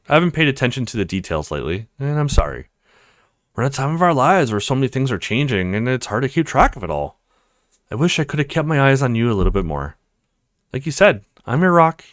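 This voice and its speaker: A loyal, protective young man from a visual novel, acted out by a male reader, earnest and blunt as he reassures. The medium-pitched delivery moves very freely up and down, recorded dry. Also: big pitch swings, a little fast, a bit bright, no echo. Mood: apologetic, earnest. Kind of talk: visual novel character read-aloud.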